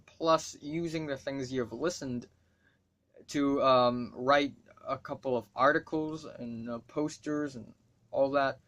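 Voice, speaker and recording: Slow-talking teen guy from Hong Kong, profane and rambling. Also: fairly high pitch, a bit bright. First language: Cantonese. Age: teens. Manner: rambling, swears freely, self-mocking asides. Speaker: student vlogger. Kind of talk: casual talk to camera.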